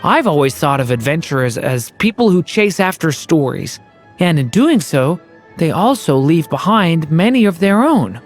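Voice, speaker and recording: An actor playing a gentle, earnest young boy in a video game, speaking softly and sincerely. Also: mid-range voice, very animated, normal speed, faint music behind. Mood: earnest, admiring. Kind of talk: voiced video game dialogue.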